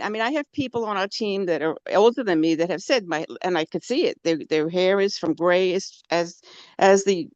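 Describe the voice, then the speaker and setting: A woman's voice: mid-range voice, no room sound, highs cut off. An older woman phoning in to a webinar, telling her story in a rambling way with many restarts. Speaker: caller sharing a testimonial. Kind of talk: casual testimony over a call.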